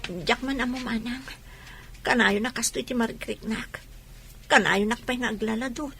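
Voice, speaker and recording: A woman playing a hurt, long-suffering wealthy wife in an Ilocano audio drama, with a husky voice. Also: on the high side, normal speed, fairly bright tone. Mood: weary, subdued.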